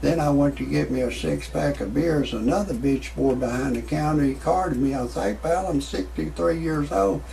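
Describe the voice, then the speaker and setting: A man's voice: fairly high pitch, normal speed, vocal fry, hardly any pauses, dark tone, slight background noise. A man in his sixties giving a folksy, rambling amateur comedy monologue to his online audience. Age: 60s. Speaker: host of own online comedy show. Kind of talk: amateur stand-up style monologue with commentary.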